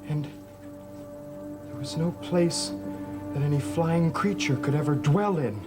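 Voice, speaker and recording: Music-backed drama, tormented gentle man, slow, stammering, guilt-laden, gothic soap delivery. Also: fairly high pitch, big pitch swings. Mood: frightened, haunted. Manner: halting, stammering, confessional. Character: haunted, guilt-ridden gentle man of a cursed family. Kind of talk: gothic soap opera acting.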